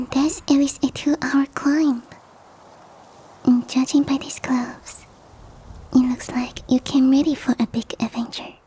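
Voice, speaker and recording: A woman voicing a big fluffy motherly yeti in an ASMR roleplay, cooing gently and teasingly in a soft, breathy whisper. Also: sits high, exaggerated voice, rough recording. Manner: cooing, nurturing, slow and teasing.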